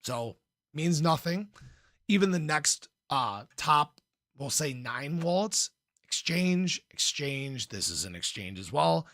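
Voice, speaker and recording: A man hosting a casual livestream in an informal, playful way, with a slightly hoarse voice and frequent throat clearing. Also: fairly high pitch, big pitch swings, slow pace, a bit bright, no room sound, studio quality. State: slightly hoarse, losing his voice. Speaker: livestream host, crypto commentator. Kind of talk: casual livestream chat, reading and answering viewer comments.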